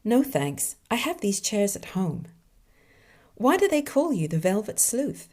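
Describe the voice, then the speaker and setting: A woman's voice: medium pitch, big pitch swings, unhurried, darkish tone, pitched above the performer's own voice. A woman voicing a glamorous femme fatale heiress in a coy, sultry manner.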